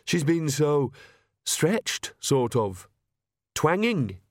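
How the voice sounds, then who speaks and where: A man's voice: a little high, very animated, very slow, no room sound, studio quality, pitched above the performer's own voice, heavily acted. A man voicing a bookish young woman witch in an audiobook, speaking in an earnest, hesitant manner.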